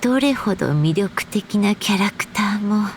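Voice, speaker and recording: A woman playing a gentle, wistful storybook author in a game, speaking in a measured, reflective way with breathy pauses. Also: medium pitch, lively intonation, slight background noise, lightly acted. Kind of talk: Japanese game story voice acting.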